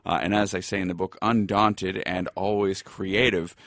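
A middle-aged American man from Boston, telling stories as an interview guest in a halting, measured, conversational way. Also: deep voice, level tone, fairly bright tone, no echo, studio quality. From Boston, United States. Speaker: interview guest, law lecturer and writer.

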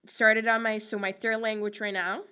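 A woman's voice: mid-range voice, little pitch movement, normal speed, sharp tone, clean tone. A young woman from Egypt whose first language is Arabic, chatting as an interview guest with lots of fillers and frequent laughs. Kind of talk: casual interview answers.

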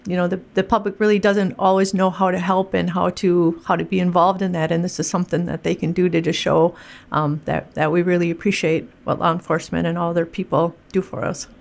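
A woman's voice: lowish voice, fairly quick, gravelly. A woman organizing a community event, speaking earnestly in a casual interview. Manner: earnest, steady, promotional.

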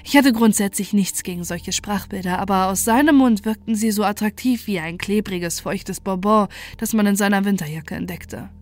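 A woman's voice: medium pitch, moderate pace, hardly any pauses. A woman reading a scripted first-person horror story as narrator, in a sober and controlled manner.

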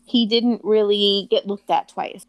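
A woman from Puerto Rico in casual chat, blunt and quick to swear and laugh. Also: mid-range voice, steady tone, no room sound, highs cut off.

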